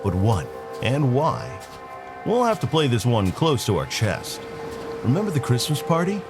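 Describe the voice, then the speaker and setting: An eccentric, earnest federal agent in an audio drama, acted by a man. His medium-pitched voice swings widely in intonation. Loud music plays behind him. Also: huge pitch swings, normal speed. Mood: hushed confiding.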